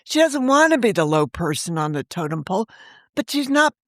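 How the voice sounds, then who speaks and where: A woman in her sixties, a novelist guest on a remote interview, talking in a chatty, animated way and often jumping in over the host. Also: medium pitch, a little fast, dry recording, clean studio sound. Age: 60s. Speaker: novelist and podcast creator, guest. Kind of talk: casual interview chat.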